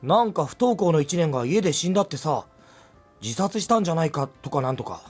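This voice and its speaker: Crude teen boy, raspy, lively intonation, informal, anime game, music behind. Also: a little high, racing. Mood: casual, blunt. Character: blunt, gossipy male high school student.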